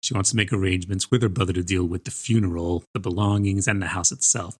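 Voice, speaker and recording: A man's voice: deep voice, steady tone, quick pace, a little mellow. A man co-hosting a book podcast, giving a conversational, good-humoured review.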